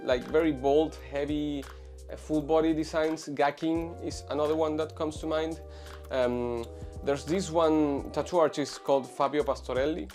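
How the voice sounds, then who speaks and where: A man's voice: mid-range voice, a little mellow. A man in his twenties from Venezuela, a tattoo artist answering interview questions casually, with many fillers and restarts. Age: 20s.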